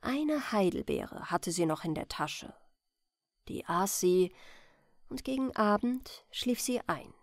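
Slow, lively woman reading a fairy tale. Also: mid-range voice, no room sound, studio quality. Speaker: audiobook reader. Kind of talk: scripted bedtime fairy-tale narration.

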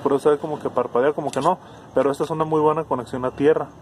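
Hombre mexicano explicando reparación de auto, voz algo aguda, ruido de fondo leve. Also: male voice, fairly high pitch, fairly even intonation, racing, darkish tone, slight background noise. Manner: casual, thinking aloud with restarts. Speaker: car electronics tutor. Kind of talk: hands-on repair demonstration.

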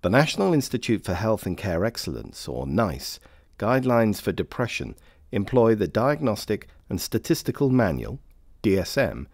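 A man reading scripted informational narration for a training film in a measured, formal manner. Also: fairly low pitch, sing-song, a little mellow, dry recording, clean studio sound. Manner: measured, formal, clearly phrased.

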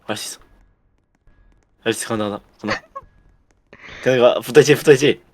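A young man with a voice strained hoarse from yelling, chatting casually and swearing as he plays a game with a friend, jumpy and quick to exclaim. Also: mid-range voice, background noise. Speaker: gamer, co-player.

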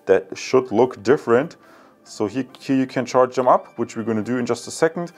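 A man in his thirties with a German accent, giving a casual, halting product review and unboxing to viewers. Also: medium pitch, darkish tone, soft background music. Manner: hesitant, halting, addresses viewers as guys.

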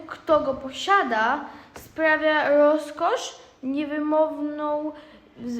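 A young girl playing a proud, taciturn old grandmother toad in an amateur radio play. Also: high-pitched. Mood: grand, secretive. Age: child or young teen. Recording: echoey room.

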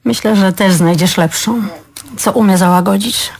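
A woman's voice: mid-range voice, normal speed, noisy background, natural voice. An actress playing an exasperated, sentimental woman in a stage play, curt and dismissive with her needy lover. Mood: weary, dismissive. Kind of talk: theatrical stage play acting.

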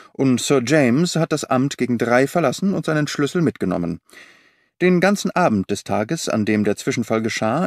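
A man reading the part of a well-informed government official giving a measured briefing in an audiobook. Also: medium pitch, no echo, clean studio sound.

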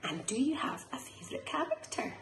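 A woman's voice: sits high, huge pitch swings, a little fast, fairly bright tone. A middle-aged Scottish woman reading a picture book expressively to young children in a rhythmic, sing-song way, like a nursery teacher. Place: small living room, indoors. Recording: roomy echo, faint background noise.

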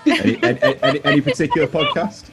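A man's voice: on the high side, wildly swooping pitch, fast, a bit bright, faint music behind. A young man, an interviewer on a radio show, chatting casually on a headset over a video call. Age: young adult. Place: indoors at home, on a video call.

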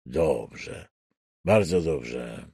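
A man voicing a coldly controlling, falsely soothing madman captor in a thriller audiobook, with slow, deliberate, hushed menace. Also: rumbling low, creaky, slightly rough, dry recording, studio quality, voice pushed down, exaggerated voice. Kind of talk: acted dialogue in a thriller audiobook.

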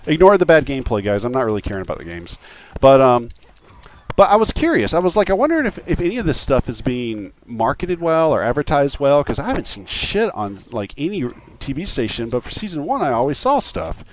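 Rambling male streamer, animated pitch. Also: fairly quick. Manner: informal, rambling, sweary. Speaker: podcast host and game streamer. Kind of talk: casual livestream chat.

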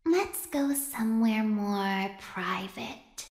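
A femme fatale chicken robot from a horror game. She drawls very slowly in a breathy, creaky voice, teasing and menacing. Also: medium pitch, expressive, a little mellow. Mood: sultry menace. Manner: sultry, slow, teasing.

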